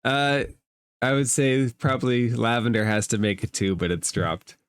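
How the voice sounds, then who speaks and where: A man's voice: mid-range voice, fairly even intonation, normal speed, smooth, no room sound. A man acting as game master in a tabletop role-playing game, giving rulings in a matter-of-fact way.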